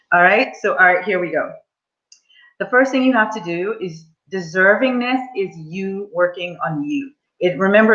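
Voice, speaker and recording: A woman's voice: lowish voice, fairly bright tone, highs cut off. Upbeat woman coach in her forties. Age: 40s. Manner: upbeat, encouraging, addresses the audience directly. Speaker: money mindset coach. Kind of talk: live online coaching session.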